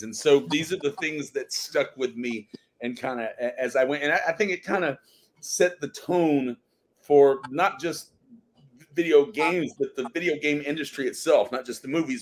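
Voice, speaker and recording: A middle-aged man speaking casually as a podcast guest, choosing his words in halting, thoughtful phrases. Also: medium pitch, slight background noise. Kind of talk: casual conversation.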